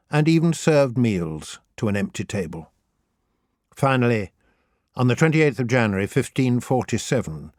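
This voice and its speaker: Expressive man narrating, dry close sound. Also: medium pitch, dry recording. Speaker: audiobook narrator. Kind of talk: scripted narration of humorous children's history.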